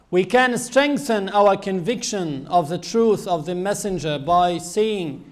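A man with a high voice delivers an earnest religious sermon. He speaks slowly and deliberately, pausing often between phrases as he teaches. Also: darkish tone. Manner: measured, earnest teaching with frequent pauses. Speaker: Islamic preacher.

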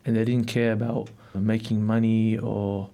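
A man's voice: fairly low pitch, flat delivery, measured pace, gravelly, mellow. A young man from Melbourne, answering interview questions in a reflective, hesitant way in a studio. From Melbourne, Australia. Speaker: interview guest.

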